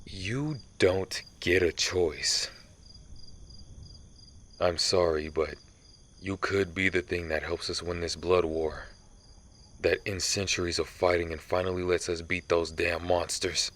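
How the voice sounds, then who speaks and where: A man playing a centuries-old vampire hunter in an ASMR audio roleplay, somber, guilt-ridden and resolute, confessing haltingly. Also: low voice, steady tone, fairly bright tone, exaggerated voice. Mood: grim, apologetic.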